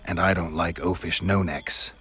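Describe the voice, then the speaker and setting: A man voicing a stoic monster hunter with a dry, deadpan way of talking in a video game. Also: deep voice, moderate pace, faint music behind. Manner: terse, deadpan.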